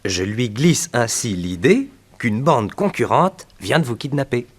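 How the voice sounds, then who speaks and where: An actor playing an earnest, composed detective's assistant, explaining in a measured way in a 1960s French radio serial. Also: male voice, medium pitch, sing-song. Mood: composed, explanatory.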